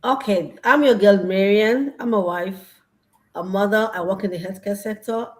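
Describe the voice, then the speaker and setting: A woman from Nigeria giving a lively, playful introduction on a video call. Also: medium pitch, moderate pace. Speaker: panel guest, healthcare worker.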